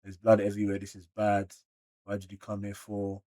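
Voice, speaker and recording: A man with a London British accent doing a casual, slangy manga read-along reaction, moving between animated exclamations and muttered asides. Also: on the low side, brisk. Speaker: reaction video creator.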